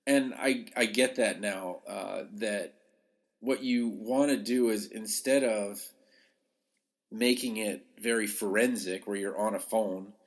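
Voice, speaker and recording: A man with a slightly low voice speaks like a podcast host coaching his listeners. His delivery is conversational and informal, leaning on key words for emphasis as he gives practical advice. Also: fairly even intonation, takes it slowly. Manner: conversational, emphatic, acts out short quotes.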